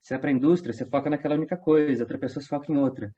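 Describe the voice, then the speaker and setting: A man in his twenties speaks in a fairly high voice with a Brazilian accent from São Paulo state. He chats casually, telling anecdotes as an interview guest. The sound is dull and lo-fi. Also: fairly even intonation, rapid-fire, warm tone, husky. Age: 20s. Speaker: theatre company actor, interview guest.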